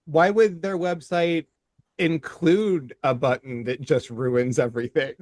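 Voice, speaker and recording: A man in casual podcast chat cuts in with dry, sardonic jokes and sympathetic remarks. His voice is a little high for a man. The recording is close and dry. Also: fairly even intonation, a little slow, darkish tone, no echo. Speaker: podcast co-host.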